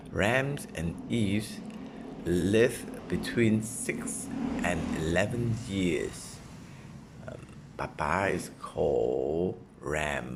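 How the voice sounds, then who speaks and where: A man reading a children's nonfiction book aloud as a parent would, in a deliberate way suited to a young child. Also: deep voice, gravelly, noisy background. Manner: deliberate, repeating and simplifying phrases for a child.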